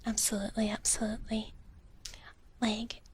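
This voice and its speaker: A woman whispering softly and breathily in a gentle ASMR livestream chat, close to the microphone. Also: fairly high pitch, monotone, a little hiss or hum. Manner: slow, gentle, speaks of herself in third person as a dragon character. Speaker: live streamer hosting ASMR.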